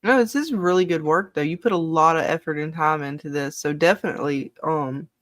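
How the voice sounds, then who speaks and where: A woman's voice: low-pitched, fairly quick, no echo, studio quality. Enthusiastic rambling woman host. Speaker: show host. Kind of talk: casual live panel chat.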